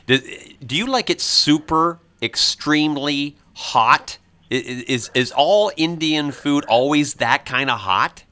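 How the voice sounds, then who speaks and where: A man hosting a morning radio show, interviewing a guest with a halting delivery full of "uh" and stammered restarts. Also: on the high side, animated, pushed and bright. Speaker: radio host.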